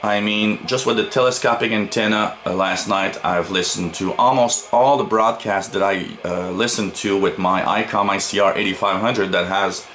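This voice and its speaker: Low-voiced man reviewing gear, noisy recording. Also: fairly even intonation, normal speed, hardly any pauses, a bit bright. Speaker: radio reviewer. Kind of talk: unscripted product review. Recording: noticeable background noise.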